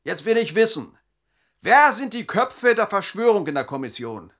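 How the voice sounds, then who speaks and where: Casting: bullying interrogator, forceful bright edge, volatile swings, barked demands. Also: male voice, high-pitched, sing-song, moderate pace, full character voice. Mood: furious, impatient. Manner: barking, demanding, sharp. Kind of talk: radio drama acting.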